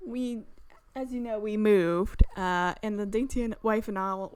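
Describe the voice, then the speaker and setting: A woman's voice: mid-range voice, slow pace, darkish tone, smooth, no room sound. A woman game streamer reading visual novel narration aloud, breaking off into chatty, exclamatory asides. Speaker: gaming channel host. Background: game music.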